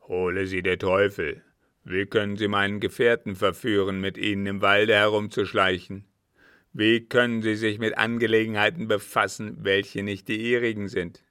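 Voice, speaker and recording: A man voicing a stern, suspicious army captain in an audiobook, gruff, haughty and accusing. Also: low voice, steady tone, measured pace, sharp tone, no echo, studio quality. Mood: angry, accusing. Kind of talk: audiobook character voice.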